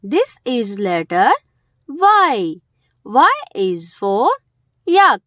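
A woman's voice: a little high, big pitch swings, very slow, fairly bright tone, dry recording, clean studio sound. A woman hosting a children's educational video, speaking in simple short phrases and stressing the key words. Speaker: host of a children's alphabet video.

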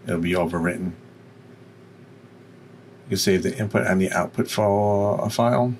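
A man with a deep voice giving an informal, explanatory software tutorial. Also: normal speed, slight background noise. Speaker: tutorial presenter.

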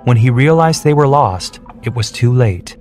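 A man reading a children's storybook, clear and measured. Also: mid-range voice, lively intonation. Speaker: narrator. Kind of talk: scripted storybook narration. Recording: soft background music.

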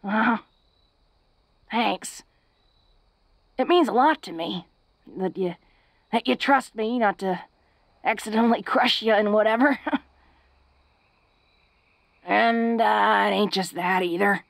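A woman voicing a tough but awkward, smitten orc girl in an intimate romantic audio roleplay, stammering bashfully in informal, rough-and-ready speech. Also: mid-range voice, slow, long pauses, no echo. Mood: tender, shy.

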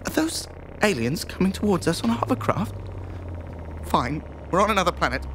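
A man's voice: high voice, sing-song, moderate pace, noisy background. A man playing a panicky, bewildered young man in a science fiction comedy audio drama. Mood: panicked disbelief. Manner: flustered, incredulous.

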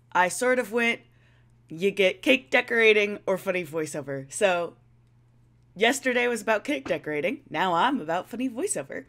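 A woman's voice: lowish voice, a bit bright. A young woman giving casual, rambling commentary as a video creator, playful and self-deprecating.

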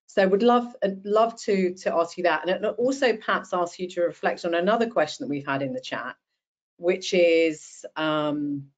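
A woman's voice: low voice, darkish tone. A woman hosting and moderating an online panel, speaking with small hesitations and repeated words. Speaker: host. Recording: dull lo-fi video call audio.

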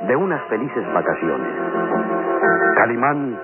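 A man's voice: mid-range voice, big pitch swings, slow. A man playing a solemn, theatrical storyteller, narrating a vintage radio adventure serial in florid style. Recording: tinny old radio recording, loud music.

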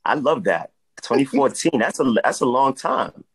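A man in his thirties co-hosting a podcast from home over a video call, enthusiastic and quick to praise the guest. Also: mid-range voice, expressive, breathy edge, rough recording. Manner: enthusiastic, repeats "I love that". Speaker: podcast co-host. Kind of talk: casual podcast interview.

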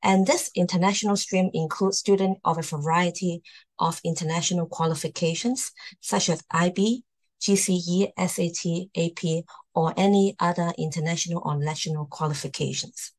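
A woman's voice: on the low side, steady tone, moderate pace. A woman hosting and presenting in a formal slide talk over a video call. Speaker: host, university admissions presenter.

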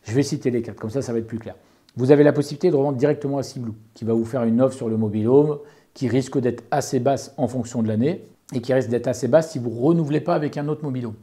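A man's voice: mid-range voice, fast talker, dark tone. A man giving informal, methodical explanations and advice as a property owner, rephrasing as he goes. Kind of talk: informal explanatory talk, answering an interviewer.

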